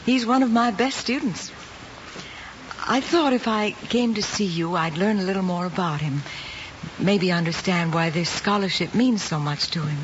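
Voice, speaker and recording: A woman plays a composed, probing schoolteacher in a mid-century screen drama. She speaks in a measured, tactful manner. The soundtrack is muffled with clear noise behind her. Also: medium pitch, lightly acted. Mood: polite. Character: composed, probing female schoolteacher, concerned and fair. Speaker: teacher. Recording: muffled, noisy old film soundtrack.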